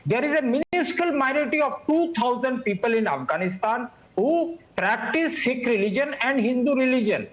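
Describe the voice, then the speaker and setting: A man, speaking as a panelist in a heated TV news debate, combative and quick to interrupt. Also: very high voice, lively intonation, a bit bright, highs cut off. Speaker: political panelist.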